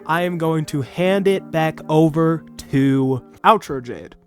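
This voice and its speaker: A man's voice: fairly high pitch, slow. A man reviewing an album in a casual, rambling way with lots of fillers, sounding a bit sick. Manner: rambling with many fillers and restarts. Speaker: music reviewer. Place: bedroom. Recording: music playing behind.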